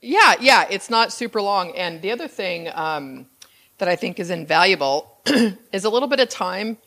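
A woman's voice: mid-range voice, animated, normal speed, a bit bright. A woman in her fifties hosting a podcast interview, chatty and enthusiastic with frequent restarts. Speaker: podcast host. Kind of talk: casual interview chat.

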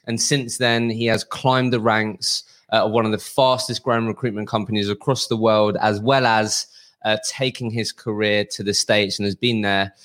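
Podcast host, male, about 30, mid-pitched, upbeat, steady interviewer.

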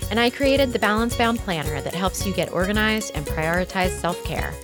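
A woman co-hosting a podcast in casual chat, friendly and agreeable, often chiming in with quick yeahs. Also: medium pitch, expressive, over music. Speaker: podcast co-host, design firm co-owner.

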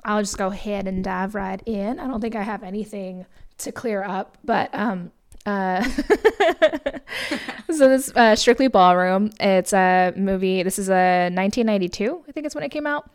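A woman's voice: medium pitch, unhurried. An American woman co-hosting a podcast, rambling through a movie recap with lots of fillers while losing her voice. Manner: rambling, filler-heavy, laughs often. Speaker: podcast co-host. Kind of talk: casual movie review chat.

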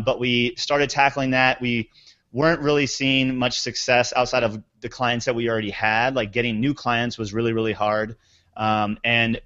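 A man's voice: medium pitch, fairly bright tone. Candid man on muffled audio. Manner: candid, reflective storytelling. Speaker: guest entrepreneur. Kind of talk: casual interview storytelling.

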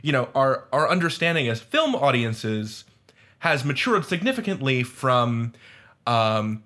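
A man's voice: medium pitch, a bit bright. A man speaking as a roundtable panelist, discussing criticism in articulate, academic language. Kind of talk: discussion of audio fiction criticism.

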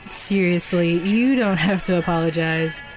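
A woman's voice: fairly low pitch, measured pace, faint music behind, natural voice, low-quality recording. A woman playing an easygoing, reassuring young coffee shop worker in an audio drama, speaking casually. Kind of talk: naturalistic audio drama dialogue.

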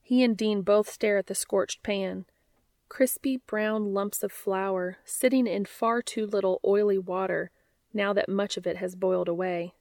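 A woman narrates a piece of fiction in the manner of an audiobook. Her voice sits at an average female pitch and is recorded close and dry, with very little room sound. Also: steady tone, measured pace, darkish tone, no room sound. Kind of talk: scripted story narration.

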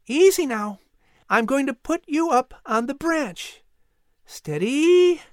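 A man voicing an eager, gentle young boy with earnest wonder in a storybook. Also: very high-pitched, lively intonation, takes it slowly, no room sound, clean studio sound, voice pushed up, full character voice. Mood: careful wonder.

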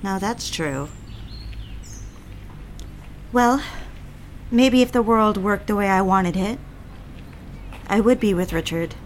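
A romantic, torn young woman, played by an actress, with a medium-pitched voice. She is candid, wry and slightly theatrical in an audio drama, over faint background noise. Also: slow pace, pauses often, close to an everyday voice. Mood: conflicted, confiding. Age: 20s. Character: conflicted, romantic young woman confiding in her father.

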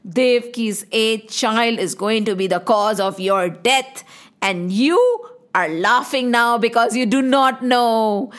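A woman voicing a stern divine voice from the sky, slow and mocking as it warns. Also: a little high. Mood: ominous.

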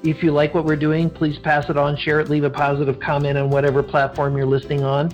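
Man, podcast host, slightly high voice, rambling, many fillers, faint music. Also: completely flat delivery, fast, highs cut off. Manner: rambling, with frequent fillers and restarts. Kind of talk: podcast interview.

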